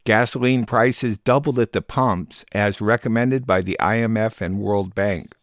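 A man's voice: fairly low pitch, unhurried, no room sound, studio quality. A man hosting a radio program, reading a scripted digest of world news.

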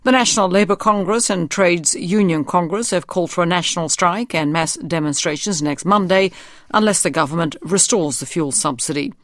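A man's voice: on the high side, a bit bright, no echo, studio quality. A man reading a scripted news report for radio. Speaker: radio newsreader.

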